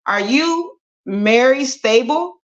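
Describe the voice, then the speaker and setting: A woman voicing a male delivery truck driver who speaks plainly and slowly. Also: high voice, animated, dull audio, voice pushed up. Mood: matter-of-fact.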